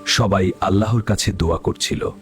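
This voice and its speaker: A man's voice: deep voice, normal speed. A man reading a scripted, dramatic story as narrator. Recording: loud background music.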